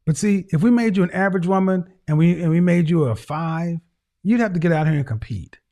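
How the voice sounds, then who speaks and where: A man with a fairly high voice lays into a caller with blunt, lecturing advice, repeating his points for weight. He sounds middle-aged and talks over a video call. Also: a little fast, dark tone. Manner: harsh, lecturing, repeats phrases. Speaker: host.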